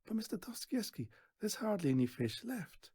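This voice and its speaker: A man's voice: sits high, sing-song, normal speed, slightly rough, dry recording, clean studio sound, pitched above the performer's own voice, heavily acted. A man voicing a small, earnest, polite young boy in a storybook reading. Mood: puzzled. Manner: soft, earnest, hesitant.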